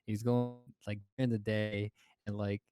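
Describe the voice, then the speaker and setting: A man's voice: fairly low pitch, little pitch movement, moderate pace. A man in his twenties hosting a casual podcast chat, rambling with lots of fillers and swearing. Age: 20s. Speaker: podcast host.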